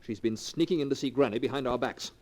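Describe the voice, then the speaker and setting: An actor playing a smooth scheming charmer. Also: male voice, mid-range voice, quick pace, a little mellow, lightly acted. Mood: coaxing. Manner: smooth, coaxing, falsely affectionate. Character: smooth scheming young man courting heiresses. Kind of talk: 1940s radio acting.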